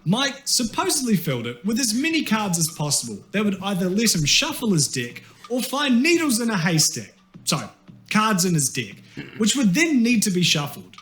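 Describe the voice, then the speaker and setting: A man with a New Zealand accent narrates a scripted comic video essay. His voice sits high and swoops with lively intonation, delivering tongue-in-cheek asides over background music. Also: normal speed.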